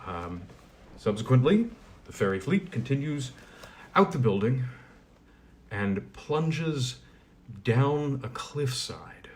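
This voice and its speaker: A man's voice: medium pitch, big pitch swings, darkish tone. A man who reads a fairy tale aloud, chatting casually between chapters. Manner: chatty with fillers in recap, measured when reading. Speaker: reader.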